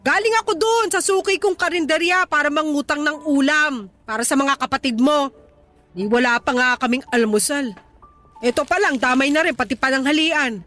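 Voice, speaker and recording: A woman's voice: high voice, normal speed, faint music behind. An actress voicing a middle-aged poor mother who scolds and blames her grown daughter, in a radio drama. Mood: scolding. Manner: sharp, reproachful, nagging. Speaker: actor.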